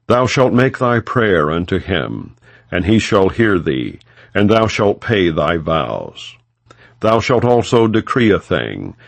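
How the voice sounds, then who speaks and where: A man narrating scripture as an audiobook in a solemn, measured, formal manner, without dramatization. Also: low-pitched, gravelly, dry recording.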